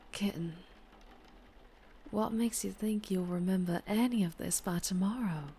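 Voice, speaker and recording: A slow-talking, low-voiced vampire biker with a Southern US drawl , played by a woman. She is flirtatious and protective, speaking softly and intimately in an ASMR roleplay, often sinking toward a whisper. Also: exaggerated voice. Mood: hushed, seductive. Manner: soft, intimate, often dropping into breathy whispers.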